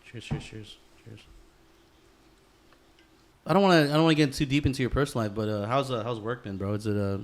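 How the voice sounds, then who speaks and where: Fast-talking man chatting casually. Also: mid-range voice. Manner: slangy, calls listener bro and dude, swears freely. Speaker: podcast host. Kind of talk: casual chat.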